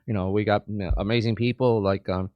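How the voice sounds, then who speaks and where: A man's voice: lowish voice, steady tone, fast talker, dry recording, muffled recording. A man from Cuba, a chamber of commerce president, talking eagerly as a guest in a studio interview and often repeating words. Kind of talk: casual interview chat.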